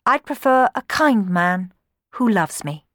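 Woman playing a kind young maid, lively pitch. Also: unhurried, dry recording, clean studio sound. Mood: firm, tender. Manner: firm, sincere. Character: kind, firm, modest young kitchen maid.

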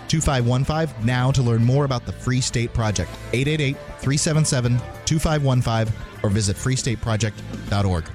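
A man reading a radio advertisement in an earnest, persuasive way. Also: mid-range voice. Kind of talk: radio ad read. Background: music.

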